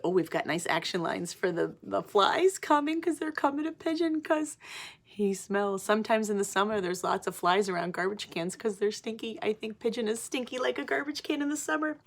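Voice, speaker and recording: A woman teacher reading a picture book to children, animated, pausing for simple explanations and questions. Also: medium pitch, vocal fry. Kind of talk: picture-book read-aloud with asides to children.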